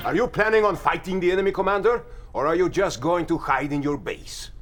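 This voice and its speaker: An actor playing an arrogant, impatient, boastful Soviet general in a video game, with a Russian accent and a pompous, sneering delivery. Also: male voice, sits high, a little fast. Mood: scornful impatience. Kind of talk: dramatic video game acting. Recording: loud music and battle noise behind.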